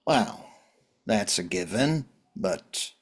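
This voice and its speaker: A man playing a world-weary, wry survivor in a drama reading, talking in a halting, conversational way. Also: lowish voice, animated, slightly rough, exaggerated voice. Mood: reflective.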